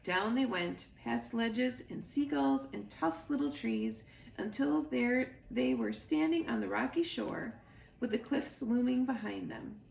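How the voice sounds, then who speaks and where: A woman reading a storybook aloud to children in an expressive, deliberate storytelling way, as a school librarian. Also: fairly high pitch, gravelly. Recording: roomy echo, noticeable background noise.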